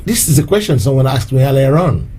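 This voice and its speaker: A man's voice: mid-range voice, very animated, quick pace, dark tone, breathy edge. Man, thanking listeners. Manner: repetitive, thankful, mixing in short Yoruba phrases. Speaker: host of an online activist show. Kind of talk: casual live-stream address.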